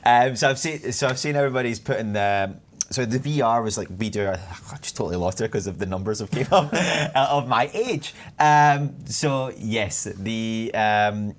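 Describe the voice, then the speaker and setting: A man's voice: mid-range voice, animated, moderate pace, few pauses. A young Scottish man in his twenties, a community manager chatting on a live stream and reading out viewer comments. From Scotland. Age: 20s. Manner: chatty, rambling, reads chat aloud.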